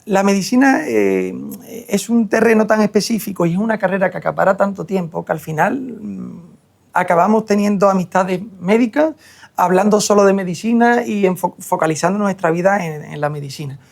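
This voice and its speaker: A man's voice: sits high, expressive, a little fast, creaky. A young man from Seville, a surgeon talking reflectively in an interview with frequent hesitations. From Seville, Spain. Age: young adult. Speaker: guest, hair transplant surgeon. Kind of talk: interview conversation.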